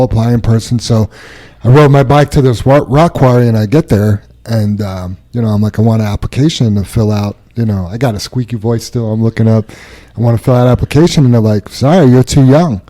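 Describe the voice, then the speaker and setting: A man's voice: mid-range voice, brisk, warm tone. A man hosting a casual podcast, telling stories and dad jokes with a ready laugh. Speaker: podcast host. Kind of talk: casual chat with storytelling.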